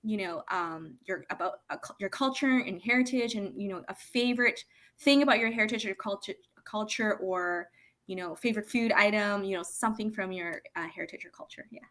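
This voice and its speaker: Woman host on a video call, enthusiastic, chatty, lots of "you know"s, mid pitch. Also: fairly quick, a bit bright. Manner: enthusiastic, chatty, many fillers. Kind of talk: live-streamed interview.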